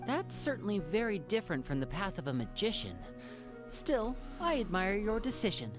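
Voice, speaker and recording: A woman's voice: medium pitch, big pitch swings, moderate pace, lightly acted. A woman playing a poised stage magician in an anime video game, polite and a little theatrical. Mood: admiring. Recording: background music behind game dialogue.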